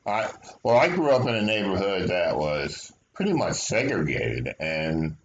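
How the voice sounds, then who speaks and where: An older man from New Jersey, a podcast guest, talking in a low-key and modest way. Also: very animated, a little slow, dull audio, slight background noise. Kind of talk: casual conversational chat.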